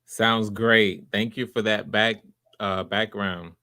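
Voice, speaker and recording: Low-voiced man hosting a livestream. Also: fairly even intonation, slow pace, sharp tone, clean tone. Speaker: host running a live voice audition.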